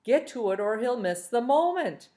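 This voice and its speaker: A woman's voice: a little high, big pitch swings, moderate pace, dark tone, voice pushed up. A woman voicing a sly, nimble male trickster in a storybook reading, egging a boy on.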